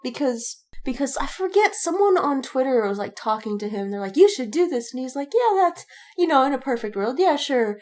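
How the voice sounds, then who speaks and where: A woman's voice: sits high, a little fast. A woman co-hosting a podcast in casual fandom chat, rambling with lots of "like" and swearing, acting out people's reactions as she retells them. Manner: animated retelling with quoted reactions. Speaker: podcast co-host.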